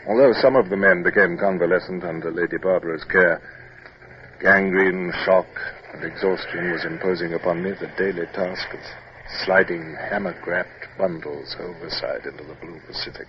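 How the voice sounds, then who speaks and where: A low, formal naval captain, played by a male radio actor in 1950s drama style. He is stern but humane, with clipped phrasing. The old recording is muffled and clearly noisy. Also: fairly even intonation, moderate pace, natural voice. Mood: grave, reflective. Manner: formal, clipped, hesitant when moved. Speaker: lead character and narrator.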